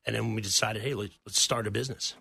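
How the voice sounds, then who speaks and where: A man's voice: on the low side, fairly even intonation, fast talker, creaky, sharp tone, breathy edge, no room sound. A middle-aged man from Texas, a guest on a radio talk show, affably explaining at length.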